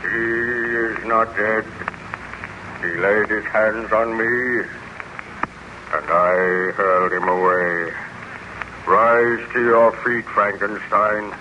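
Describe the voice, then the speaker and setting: An actor playing a lonely, vengeful monster in a slow, declamatory, menacing manner, in 1930s radio horror style. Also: male voice, on the low side, fairly even intonation. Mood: contemptuous. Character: lonely, vengeful male monster, created by a scientist. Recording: dull, noisy old 1930s radio recording.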